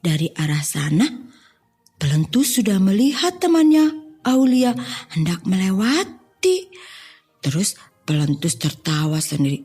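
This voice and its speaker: Husky, animated woman telling children's stories. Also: mid-range voice, measured pace, long pauses, faint music behind. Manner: addresses child listeners directly, encouraging. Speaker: storyteller. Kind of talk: expressive children's story narration.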